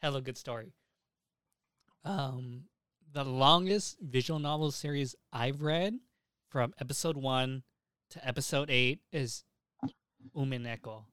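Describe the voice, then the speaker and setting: A man's voice: mid-range voice, unhurried, pauses often, fairly bright tone, clean tone, dry recording, clean studio sound. A young adult man hosting a casual podcast, chatting in an enthusiastic, informal way with plenty of swearing. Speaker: podcast host.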